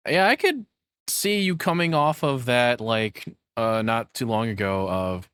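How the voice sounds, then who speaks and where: A man's voice: medium pitch, unhurried, fairly bright tone, smooth. A man giving casual, rambling reaction commentary, often slipping into imagined quotes as he talks.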